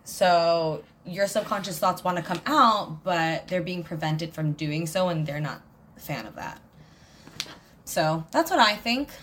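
A woman's voice: on the low side, animated, measured pace, a bit bright, a little hiss or hum. Casual swearing woman podcast co-host. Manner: rambling, swears freely. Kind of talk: casual chat.

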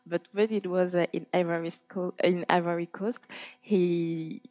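A woman's voice: lowish voice, fairly even intonation, darkish tone. Hesitant young woman, lo-fi. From Côte d'Ivoire. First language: French. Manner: hesitant, many pauses and fillers. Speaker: guest. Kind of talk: casual interview chat. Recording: dull lo-fi audio.

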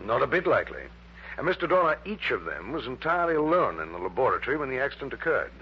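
A man's voice: medium pitch, big pitch swings, quick pace, slightly rough. An actor playing an earnest, troubled male research chemist in a 1960s radio drama, hesitant yet emphatic. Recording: dull, humming early-1960s radio recording.

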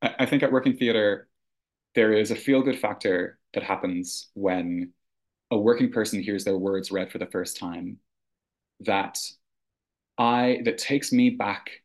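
A man's voice: lowish voice, unhurried. A man from rural Ireland, a theater artistic director talking earnestly as a podcast guest, often restarting his sentences. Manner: thoughtful, earnest, with frequent restarts and "you know". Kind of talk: conversational podcast interview.

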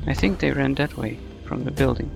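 Observant male scout, actor: fry-heavy voice, mid register, audio drama with prominent music. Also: mid-range voice, fairly quick. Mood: reporting. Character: alert, observant male scout reporting sightings.

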